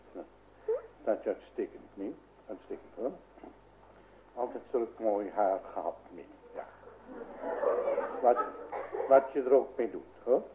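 An actor playing a grumbling, jealous old husband and father in a radio play, stammering and repeating himself. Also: mid-range voice. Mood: distracted. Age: older. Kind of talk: Dutch radio play acting. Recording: tinny band-limited old radio recording, noisy.

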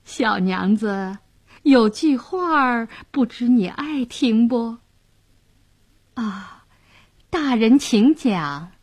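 A woman storyteller voicing a young fishwife who is sweet, bashful and flattering, with cunning deference. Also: fairly high pitch, very slow, pauses often, breathy edge, voice pushed up, full character voice. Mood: coy.

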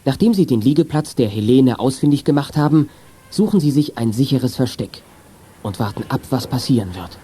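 A man's voice: medium pitch, brisk, warm tone, husky, lightly acted. A man narrating a children's detective radio play in scripted storytelling prose. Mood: calm storytelling.

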